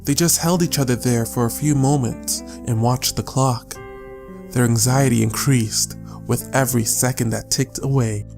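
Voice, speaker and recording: A man reading scripted audiobook narration as a storyteller, measured and with deliberate pauses. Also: medium pitch, fairly even intonation, gravelly, a little mellow. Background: guitar music.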